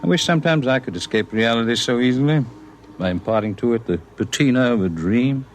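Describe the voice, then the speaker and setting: An actor playing a gentle, wistful alien visitor who speaks in formal, measured phrases in a 1950s radio drama. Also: male voice, mid-range voice, close to an everyday voice. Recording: noisy vintage radio broadcast recording.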